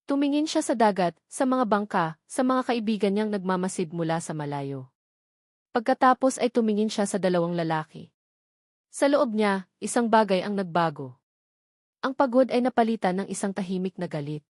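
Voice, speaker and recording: Female Filipino storyteller for dramatic audiobook narration, mid-pitched, measured delivery, dry recording. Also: animated, a little mellow, no echo.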